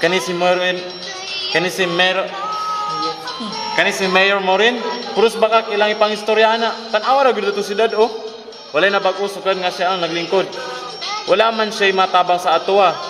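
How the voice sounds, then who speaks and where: High-pitched insistent Filipino man, acted by a man. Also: fairly even intonation, normal speed, long pauses, music in the background, close to an everyday voice. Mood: insistent, scornful. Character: insistent male political henchman, scornful persuader. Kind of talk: amateur student radio drama acting. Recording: echoey hall with long reverb.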